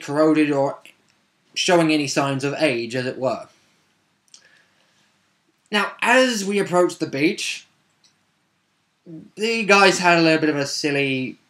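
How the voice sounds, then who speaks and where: A man's voice: a little high, fairly bright tone. A man casually recounting a tabletop game session, rambling along with wry, informal asides.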